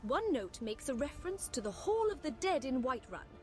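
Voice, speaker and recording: A woman's voice: high voice, sing-song, fairly quick, soft background music. A woman voicing a formal, devoted mage in a fantasy video game, with courteous, archaic phrasing. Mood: courteous, resolute. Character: formal, devoted female mage of a secretive college. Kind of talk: scripted fantasy game dialogue.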